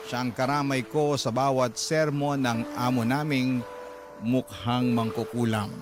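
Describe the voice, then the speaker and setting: A male radio narrator reads a confessional love letter with sentimental, dramatic phrasing. His voice is of middling pitch, and music plays loudly underneath throughout. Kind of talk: scripted first-person story narration, sentimental. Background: prominent music.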